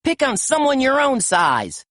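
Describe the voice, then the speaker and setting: A woman voicing a brave young male police pup who talks in a bold, shouting way. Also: high voice, wildly swooping pitch, measured pace, no room sound, clean studio sound, voice pushed up, heavily acted.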